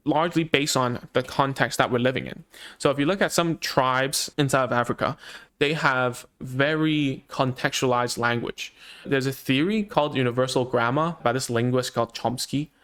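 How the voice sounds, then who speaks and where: A man's voice: medium pitch, fairly bright tone. A man whose first language is Cantonese, a speech therapist talking casually to parents about child language, with many fillers and restarts. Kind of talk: casual explanatory talk to parents.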